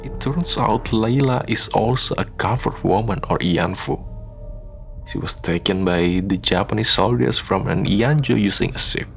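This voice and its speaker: A man narrating a scripted radio drama in a solemn, measured storytelling manner. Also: fairly low pitch, creaky, faint music behind.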